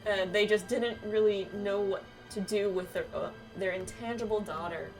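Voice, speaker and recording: A woman plays a gentle, wistful young clanswoman from a fantasy video game. The lines come a little haltingly, as read-aloud dialogue at a middling female pitch. The mic is slightly distant and music plays underneath. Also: fairly even intonation, lightly acted.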